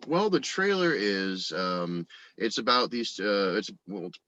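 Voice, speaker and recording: A man from the United States chatting casually as an interview guest, rambling and halting as he feels for his words. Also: lowish voice, animated, bright, forceful tone, no echo. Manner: halting, rambling, frequent verbal hedging. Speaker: guest, audio drama actor and writer. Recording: dry, dull lo-fi voice recording.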